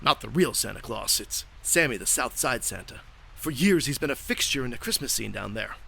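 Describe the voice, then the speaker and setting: A man's voice: mid-range voice, expressive, a little fast, vocal fry, sharp tone, slight background noise. A man playing an earnest, stoic caped crime-fighter, speaking solemnly and straight-laced in a comic audio drama. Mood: solemn, concerned.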